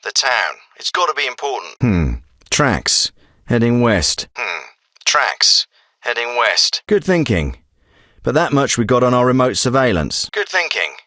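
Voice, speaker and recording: A special forces commander in a military shooter. His voice is hard-edged and very bright, with animated pitch movement. He gives orders in a terse, businesslike, authoritative manner, recorded close and dry. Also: measured pace, no room sound. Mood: terse, focused. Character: gruff, businesslike male special forces commander briefing an operative. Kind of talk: scripted military video game dialogue.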